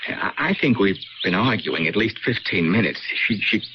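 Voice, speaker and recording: Man acting an evasive, animated radio-drama husband. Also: fairly low pitch, moderate pace, lightly acted. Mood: exasperated, defensive. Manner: hesitant, evasive, dismissive. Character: evasive, defensive husband soothing his suspicious wife. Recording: dull lo-fi radio broadcast with noise.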